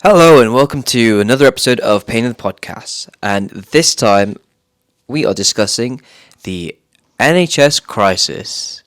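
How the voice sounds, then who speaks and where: A man's voice: medium pitch, animated, unhurried, fairly bright tone. A man from the UK co-hosting a podcast, upbeat and chatty, stretching his phrases. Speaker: podcast co-host. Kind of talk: casual chat.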